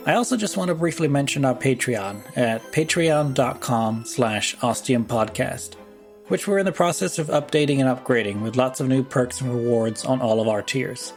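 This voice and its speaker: A man's voice: medium pitch, moderate pace. A man hosting a podcast, reading a scripted announcement to listeners. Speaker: podcast host. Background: faint music.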